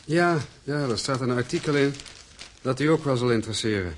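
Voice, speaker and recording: A man acting in a radio drama as an earnest, wistful, worried husband and heir, with a husky voice and brooding, expressive delivery. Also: mid-range voice, fairly quick, a little hiss or hum, close to an everyday voice. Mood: concerned.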